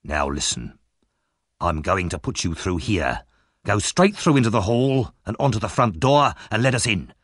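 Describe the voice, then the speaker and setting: A man acts out a menacing male burglar from a storybook. His voice is deep and rough, husky at the edges. He gives orders like threats, with big swings in pitch, recorded close and dry. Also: big pitch swings, normal speed, fairly bright tone, no echo. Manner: threatening, commanding.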